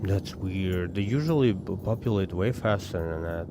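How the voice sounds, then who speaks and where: A man's voice: low-pitched, moderate pace. A man with an Armenian accent streaming a space game, chatting casually with his viewers and often repeating his words. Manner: rambling, repeats words, talks to chat. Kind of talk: casual live-stream chat while gaming. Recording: game noise behind the voice. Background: game sounds.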